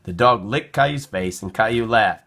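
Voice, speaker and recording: A man narrating a children's storybook in an expressive read-aloud style. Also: fairly low pitch, moderate pace, creaky.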